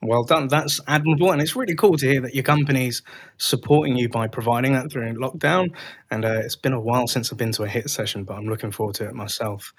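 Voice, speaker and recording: A man's voice: medium pitch, quick pace, breathy edge. A man hosting a podcast interview, upbeat and chatty, with many fillers. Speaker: podcast host.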